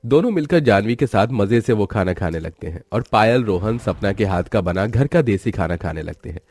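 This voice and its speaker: A man's voice: on the low side, animated, a little fast, a little mellow. A man narrating a scripted moral story.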